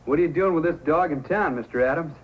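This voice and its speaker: A man's voice: fairly high pitch, quick pace, natural voice. An actor playing an eager, earnest, polite teenage boy in an old-time radio drama. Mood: polite curiosity. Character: Dave, eager, pleading teenage boy who loves dogs. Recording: muffled, noisy old radio recording.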